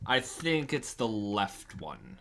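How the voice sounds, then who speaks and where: Bright-voiced man, casual chat. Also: on the low side, big pitch swings, takes it slowly, slight background noise. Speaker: livestream co-host. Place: remote group video call.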